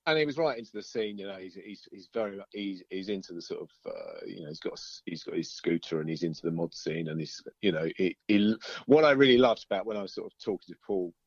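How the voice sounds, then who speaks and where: A man chatting informally as an interviewed musician, halting and circling back over his phrases. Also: low-pitched, animated, creaky, no echo, muffled recording. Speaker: band singer-guitarist being interviewed. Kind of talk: casual interview chat.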